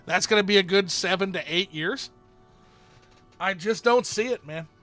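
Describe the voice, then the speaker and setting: A man's voice: high voice, normal speed, pushed and bright. A man hosting a casual game livestream, rambling as he reads out viewer chat and answers it. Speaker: streamer host.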